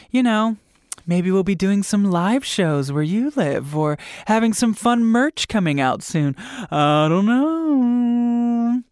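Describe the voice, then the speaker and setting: Male podcast host, chatty and campy, higher voice, dry close sound. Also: smooth, no echo. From Michigan. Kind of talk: casual podcast chat.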